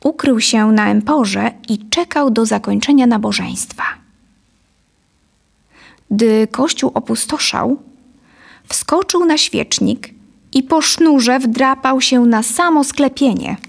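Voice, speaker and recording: A woman in her thirties narrating a scripted story deliberately and expressively into a close studio microphone. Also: on the high side, vocal fry. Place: dim studio set, indoors. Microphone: studio condenser on a boom, close.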